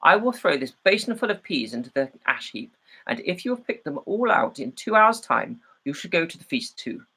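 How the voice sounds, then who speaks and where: A man's voice: fairly high pitch, big pitch swings, normal speed, sharp tone, husky, pitched above the performer's own voice, full character voice, poor audio. A man voicing a cruel, scornful stepmother in a storybook, scolding and dismissive.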